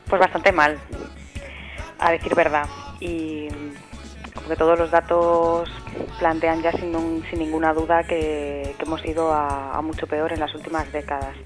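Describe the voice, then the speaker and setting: A woman from answering interview questions as an activist guest, speaking in a measured way. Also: sits low, level tone, soft background music.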